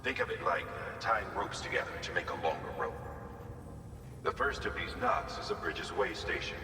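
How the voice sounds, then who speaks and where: An actor playing an authoritative commander in a video game, giving a measured, businesslike mission briefing. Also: male voice, deep voice, very animated, pushed and bright, full character voice. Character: authoritative male commander briefing his operative. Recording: echoey, distant, long reverb, noisy background.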